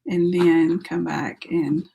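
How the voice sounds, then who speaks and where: A woman with a sore throat, her voice starting to give out. She talks slowly and unhurriedly, chatting and thinking aloud as she works through a casual live painting tutorial. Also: on the low side, level tone, vocal fry, dark tone, slight background noise. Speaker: artist hosting a live painting stream.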